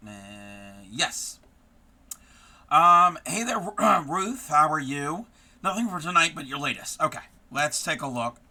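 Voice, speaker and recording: A hoarse man who is losing his voice, hosting a casual live stream and chatting warmly with viewers by name, with many ums. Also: a little high, very animated, slow pace. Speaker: craft live-streamer host.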